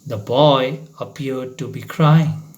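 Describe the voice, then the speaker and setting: A man reading a short story aloud in a dramatic, deliberate storytelling manner. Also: mid-range voice.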